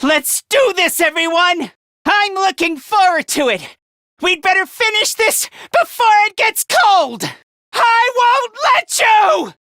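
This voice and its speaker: A man's voice: very high voice, very animated, slow pace, pauses often, pushed and bright, no room sound, clean studio sound, heavily acted. A man voicing a timid, self-loathing, frantic young male fighter in an anime-style video game dub, stammering, self-deprecating and easily panicked. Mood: excited determination.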